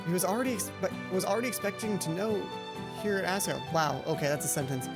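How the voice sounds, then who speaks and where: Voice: mid-range voice, animated, fast. A let's play host with a rambling, playful, self-interrupting way of talking, reading game text aloud and commenting on it. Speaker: gaming video host. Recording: game music under the voice. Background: video game music.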